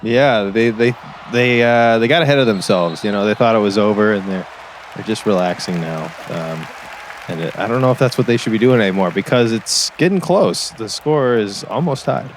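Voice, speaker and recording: An actor playing an excitable, folksy small-town color commentator at a basketball game, with halting, repetitive phrasing. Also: male voice, fairly low pitch, a faint crowd, a little hiss or hum, lightly acted. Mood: chatty. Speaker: sports commentator. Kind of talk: improvised-sounding basketball commentary in an audio drama.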